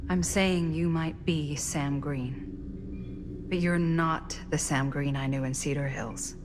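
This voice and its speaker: A woman playing a tough, skeptical, sharp-tongued character in a video game, in a naturalistic, sardonic way. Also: deep voice, steady tone, slow pace, over music. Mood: confrontational, accusing. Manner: blunt, sardonic.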